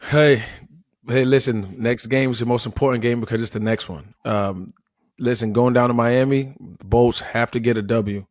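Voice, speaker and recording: A man's voice: medium pitch, fairly even intonation, moderate pace, dry recording, clean studio sound. An American man analysing football off the cuff as a former player, emphatic and often checking in with "right?".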